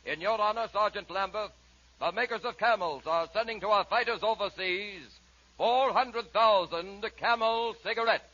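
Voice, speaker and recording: A man's voice: sits high, moderate pace, bright, forceful tone. A man reading a formal scripted tribute in 1940s radio announcing style. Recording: muffled 1940s radio recording.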